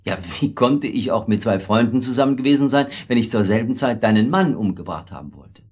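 A man playing a weak middle-aged husband in a radio drama, confessing in a hesitant, rambling, self-justifying way with a husky voice. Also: mid-range voice, animated, brisk, darkish tone. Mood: defensive, confessing. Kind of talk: naturalistic German radio drama acting.

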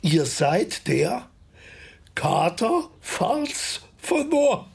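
Husky, gravelly older stage actor. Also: male voice, high-pitched, sing-song, extremely slow, exaggerated voice. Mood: amused, storytelling. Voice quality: husky, creaky. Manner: anecdotal, declaiming quotations. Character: talkative, theatrical older stage actor, evasive. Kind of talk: comic crime radio play acting.

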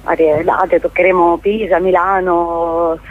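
A woman's voice: lowish voice, flat delivery, a little slow. A woman giving a spontaneous radio interview as a theatre guest, hesitant, often repeating words as she searches for the next one. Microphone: telephone call to a radio station. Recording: tinny, narrow phone line.

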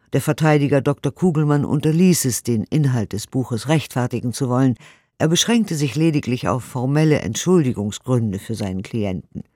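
A man's voice: medium pitch, darkish tone, dry recording. A man giving a formal, declamatory scripted reading of old documents for a radio play, close to a studio microphone. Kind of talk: scripted dramatic reading of historical press and court reports.

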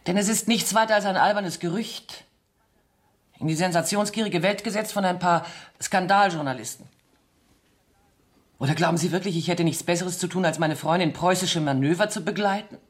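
An actress in a German radio play voicing a seductive, mocking dancer accused of espionage, theatrical and ironic, sometimes dropping into breathy murmurs. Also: female voice, lowish voice, normal speed, lightly acted. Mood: amused, haughty.

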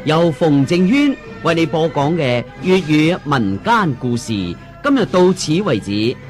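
Radio announcer, man, formal, lively up-and-down delivery. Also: a little high, unhurried. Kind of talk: program announcement. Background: music.

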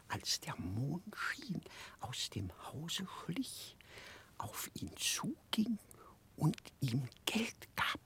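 A man in a radio play voicing a muddled, childlike, secretive gentleman in a hushed, breathy voice, halting and correcting himself. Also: a little high, exaggerated voice. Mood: urgent, conspiratorial. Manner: halting, confused, conspiratorial, self-correcting. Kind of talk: 1960s German radio play acting.

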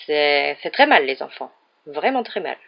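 A woman's voice: lowish voice, brisk, lo-fi sound, natural voice. A woman voicing a weary middle-aged wizard father in a storybook, enthusiastic but sheepish, rambling and stammering when cornered. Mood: excited, then sheepish.

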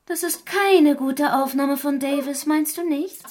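A woman's voice: sits high, a little slow, darkish tone. A woman playing a detective's affectionate, playful and curious wife in a radio crime drama, speaking coquettishly.

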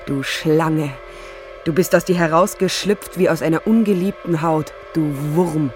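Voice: medium pitch, a little slow, over music, close to an everyday voice. A performer in a German radio play voicing a cold, accusing parent returned from the dead. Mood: cold accusation.

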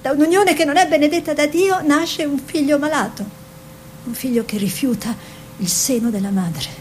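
An actress plays a woman painter and mother, tender and anguished, in a literary radio drama. Her voice sits fairly high and moves through short, lyrical, deliberate phrases. There is faint noise and a low rumble under it. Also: close to an everyday voice. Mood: anguished, guilty. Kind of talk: literary stage-style radio drama monologue and dialogue.

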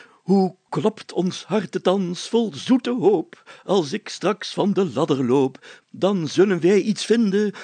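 A puffed-up village mayor from a storybook. The voice sits well above an everyday male pitch, with a rough, creaky edge, and declaims grandly, stretching the solemn words with great self-importance. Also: mellow, no room sound, full character voice. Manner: pompous, declamatory, drawn-out solemn phrasing. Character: pompous, excitable village mayor, grandiloquent adult man.

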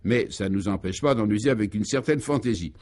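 A man's voice: on the low side, quick pace, a little mellow, lightly acted. A man acting out an assured, sententious driver in a comic radio serial, explaining absurdities matter-of-factly. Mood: patient, assured.

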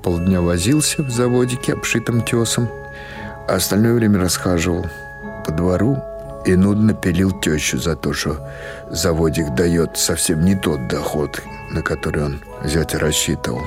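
A man reading literary prose as an audiobook narrator, in a measured, expressive storytelling manner. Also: sits low, over music.

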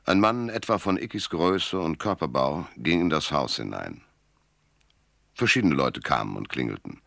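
Very deep, gravelly hard-boiled detective. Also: male voice, lively intonation, moderate pace, pushed and bright, no echo, exaggerated voice. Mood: brooding, measured. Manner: laconic, dry, sardonic. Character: hard-boiled, world-weary male American private detective, narrating. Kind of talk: German radio drama, first-person noir narration.

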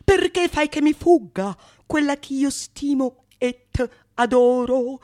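A pining, foolish male lover alone on stage. The voice is very high and moves at a heavily drawn-out pace. The delivery is declamatory and brooding, in ornate old theatrical Italian. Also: darkish tone. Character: lovesick, foolish man pining and scheming with magic. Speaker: stage actress. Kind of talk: theatrical soliloquy in archaic literary Italian.

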